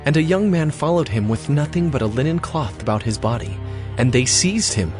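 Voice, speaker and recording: A man's voice: mid-range voice, lively intonation, fairly quick, over music. A man narrating a dramatized scripture story from a script.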